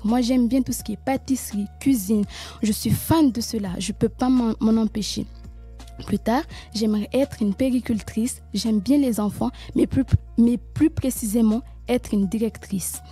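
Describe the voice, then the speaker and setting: Earnest young student, dark-toned. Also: female voice, a little high, moderate pace, slightly rough, faint music behind. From Mayotte. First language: Kibushi. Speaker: student presenter. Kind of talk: school podcast presentation.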